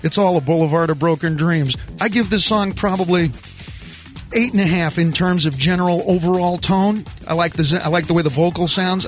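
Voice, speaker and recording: A man hosting a call-in radio show, joking and rambling while he reviews songs and tells his board operator what to play. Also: a little high. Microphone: studio microphone.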